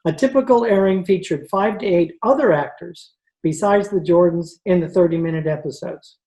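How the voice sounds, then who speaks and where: A woman's voice: on the low side, normal speed, warm tone, rough recording. A woman announcer reading scripted narration for an old-time radio show. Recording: video call recording.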